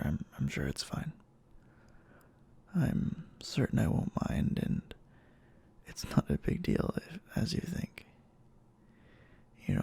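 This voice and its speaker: Yandere boyfriend, played by a man, for ASMR roleplay: gravelly, breathy, slow, halting, anxiously devoted. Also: mid-range voice, mellow, full character voice. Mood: reassuring, uneasy. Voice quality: breathy, husky half-whisper.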